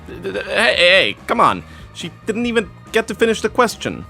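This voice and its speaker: A man's voice: sits high, huge pitch swings, moderate pace, gravelly, pushed and bright, soft background music. A man trading playful, competitive banter as a game show contestant, teasing and mock indignant. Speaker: writer, trivia contestant.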